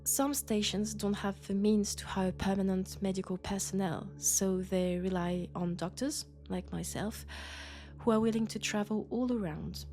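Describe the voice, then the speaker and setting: A woman with a French accent, sick with a cold, playing a gentle, sociable traveling doctor from Mars in an intimate sci-fi audio roleplay, chatty and soft. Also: medium pitch, fairly even intonation, normal speed, soft background music, lightly acted. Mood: warm, reflective. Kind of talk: intimate sci-fi roleplay acting, one-sided conversation.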